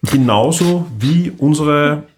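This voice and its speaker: A man's voice: on the high side, slow, faint music behind. A man with an Austrian accent hosting a podcast in a casual, chatty style. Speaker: podcast host. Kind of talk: casual chat.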